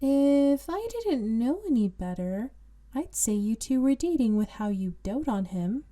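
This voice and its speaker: A woman's voice: on the high side, big pitch swings, measured pace, dark tone. A woman playing a smug young student who teases in an audio drama. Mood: teasing. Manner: teasing, smirking.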